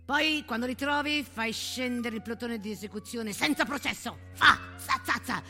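A woman's voice: on the high side, normal speed, big-room sound, lightly acted. Woman acting a menacing, barking army commander. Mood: barking rage. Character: cold, furious military commander ordering deserters shot. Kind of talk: theatrical monologue set to music. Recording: stage monologue with music behind.

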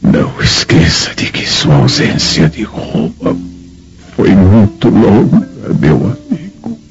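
An aging Roman father in a radio drama, weary and full of disappointment. His delivery is very slow and halting, swinging dramatically between breathy murmurs and raised tones. The recording is dull, with faint music behind. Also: on the low side, wildly swooping pitch, exaggerated voice. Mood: despairing. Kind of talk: Brazilian radio drama acting.

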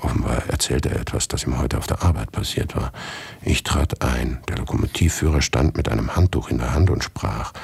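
An anxious, obsessive writer, narrates a macabre radio play. The voice is extremely low and very husky, with a creaky edge. The delivery is tense and deliberate, often sinking towards a whisper. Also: male voice, darkish tone, no room sound, exaggerated voice. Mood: wary. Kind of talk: dramatic first-person literary narration in a radio play.

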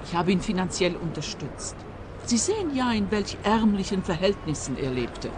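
An anxious, high-minded woman from a radio play, played by an actress. Her medium-pitched voice swings widely as she speaks in formal, earnest, dramatic phrases. Clear outdoor noise sits behind her. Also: sing-song, moderate pace, natural voice. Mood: distressed.

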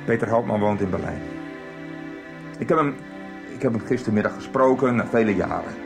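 A man's voice: lowish voice, a little fast, gravelly, over music. An actor in a 1990s radio soap playing a cornered, defensive man, agitated and emphatic in his protests. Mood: cornered, pleading. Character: cornered, defensive man with a shameful political past.

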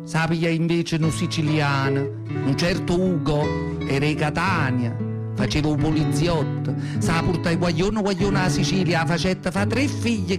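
Man playing an old Neapolitan mother, high-pitched, reminiscing. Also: close to an everyday voice. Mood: wistful, resigned. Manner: rambling, confiding reminiscence with repeated phrases. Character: elderly southern Italian mother, nostalgic, plainspoken storyteller. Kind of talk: radio theatre monologue in dialect. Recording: guitar music behind the voice. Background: plucked guitar music.

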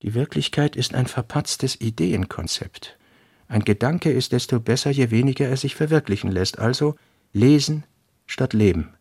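A man with a husky voice playing a reflective writer, reading aphoristic notes in a literary radio play. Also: mid-range voice, moderate pace, darkish tone, no room sound, studio quality.

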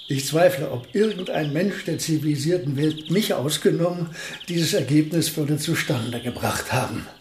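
An older actor in a radio play, playing an eccentric, excitable elderly male physicist with formal, pedantic, courteous phrasing. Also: on the high side. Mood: pedantic, self-satisfied. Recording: roomy echo, faint noise.

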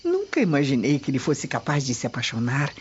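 A woman's voice: rumbling low, huge pitch swings, fairly quick, slight background noise, exaggerated voice. An actress playing a scheming, haughty noblewoman of ancient Rome with an imperious, theatrical delivery in a radio drama. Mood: calculating, pleased. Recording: muffled audio with faint music.

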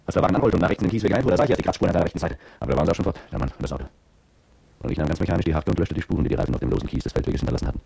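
Gravelly, brooding petrol station attendant. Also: male voice, sits low, expressive, rapid-fire, warm tone, no room sound, heavily acted. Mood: tense recollection. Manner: brooding, confessional first-person narration. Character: intimidated petrol station attendant, bitter, fearful ex-soldier. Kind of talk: German radio drama monologue.

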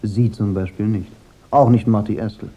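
A man playing a cool, sardonic private detective in a German radio crime drama, speaking in a dry, measured, laconic way. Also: fairly low pitch, steady tone, dark tone, breathy edge, muffled recording. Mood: controlled, accusing. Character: cool, sardonic private detective, unmasking killers with weary confidence. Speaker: detective and first-person narrator.

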